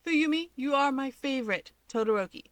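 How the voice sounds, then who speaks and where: A woman voicing a wry, harried young male hero with brisk delivery in an audio story. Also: high voice, expressive, no room sound, voice pushed up.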